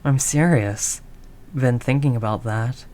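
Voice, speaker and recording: Low-voiced, doting mafia girlfriend played by a woman. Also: a little slow, warm tone. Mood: devoted, earnest. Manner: affectionate, slangy tough talk with pet names. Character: tough, doting mafia heiress girlfriend, tender and confident. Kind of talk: intimate audio roleplay monologue.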